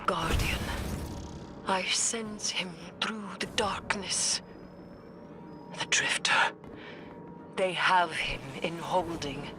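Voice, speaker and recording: An actress voicing a grave, guarded warrior-mystic in a video game, solemn and deliberate. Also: female voice, fairly low pitch, music in the background. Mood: solemn, uneasy. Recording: vehicle and ambient noise.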